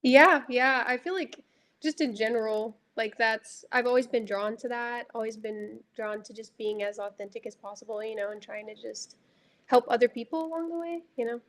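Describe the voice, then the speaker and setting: A young woman from Dallas with a light, high voice, a music artist talking reflectively about her songs and filling her speech with casual fillers. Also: normal speed. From Dallas, Texas. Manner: reflective, heavy use of filler words. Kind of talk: casual interview chat.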